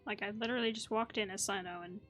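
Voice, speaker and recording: A woman's voice: medium pitch, fairly even intonation, quick pace, fairly bright tone. A woman hosting a gaming video, giving casual, chatty commentary as she thinks aloud. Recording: light game music behind voice. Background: video game music.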